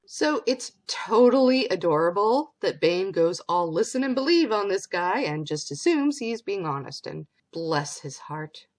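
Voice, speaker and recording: A woman's voice: medium pitch, expressive, a little slow, hardly any pauses. A woman speaking wryly and sardonically in a YouTube commentary clip. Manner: wry, sardonic.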